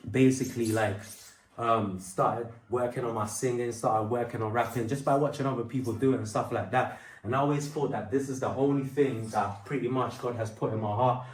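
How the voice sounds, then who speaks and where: A man's voice: medium pitch, a little mellow, distant mic. Man telling his story in a roomy space. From Angola. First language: Portuguese. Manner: rambling with many fillers and restarts. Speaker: aspiring musician. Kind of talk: personal storytelling. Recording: roomy sound from off mic.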